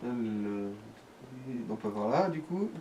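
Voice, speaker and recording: A man's voice: fairly low pitch, animated, slow, mellow, recorded from a distance. A man giving casual, slangy let's play commentary as he guides the player. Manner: colloquial, slangy. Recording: background noise behind the voice.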